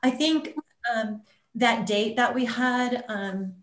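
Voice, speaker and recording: A woman's voice: on the high side, slow. A middle-aged woman, a theater organizer, giving an informal update on a video call. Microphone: computer on a video call. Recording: roomy, echoing video call audio.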